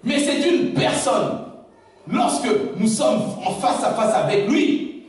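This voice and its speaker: A man's voice: extremely high pitch, animated, takes it slowly. A pastor preaching a sermon to his congregation. His native language is Lingala, and he keeps asking the room whether they follow him. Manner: preaching with rhetorical questions to the congregation. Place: large church hall. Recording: very echoey church hall, distant mic, faint noise.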